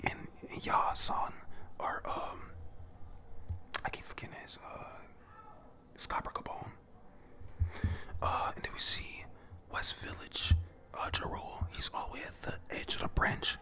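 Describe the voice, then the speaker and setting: Bright whispering voice, casual and halting. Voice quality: whispered, breathy. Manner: halting, informal, swears casually. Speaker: reader recapping a comic for viewers. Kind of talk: whispered read-through and recap of a manga chapter.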